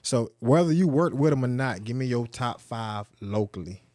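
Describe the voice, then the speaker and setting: A man's voice: medium pitch, expressive, moderate pace, mellow, dry recording. A man hosting a casual interview, laid-back and prompting his guest with slang. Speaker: interviewer host.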